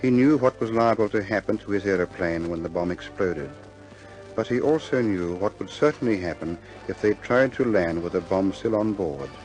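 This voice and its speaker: A man reading scripted dramatic narration in a measured, grave storytelling manner. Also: low-pitched, expressive, a little mellow, faint music behind, poor audio.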